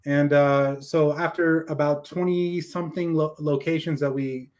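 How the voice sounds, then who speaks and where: A man's voice: fairly high pitch, steady tone, measured pace, a little mellow. A man with an American accent, speaking as an interview guest and telling his personal story conversationally. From raised in California, born in Afghanistan.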